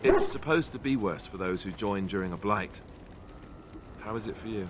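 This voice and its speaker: A man voicing a low-voiced, wry young warrior. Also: expressive, moderate pace, very noisy, lightly acted. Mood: sombre. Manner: wry, conversational, sardonic. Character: young male warrior, wry, boyish, self-deprecating comrade. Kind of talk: video game character dialogue.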